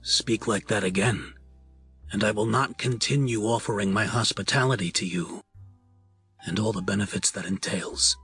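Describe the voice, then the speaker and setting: A man playing a proud, dignified crime family patriarch in an audio drama, speaking formally and with measured gravity. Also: on the low side, fairly bright tone, soft background music. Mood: cold warning.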